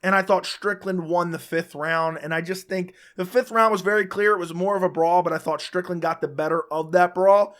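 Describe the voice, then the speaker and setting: A man's voice: sits high, steady tone, a bit bright. A man who is sick and losing his voice, hosting a casual, opinionated solo recap of a fight round by round. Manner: casual, opinionated, talks fast in bursts with restarts. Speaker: MMA commentator, channel host.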